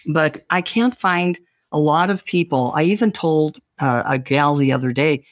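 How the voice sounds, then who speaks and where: Enthusiastic man with a higher voice. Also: moderate pace. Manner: enthusiastic and encouraging, talks at length. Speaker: podcast host. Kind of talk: casual interview conversation.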